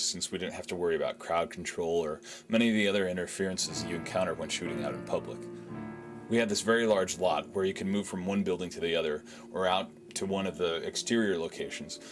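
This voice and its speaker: A man speaking as a film director, giving measured, earnest behind-the-scenes commentary. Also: low voice, faint music behind.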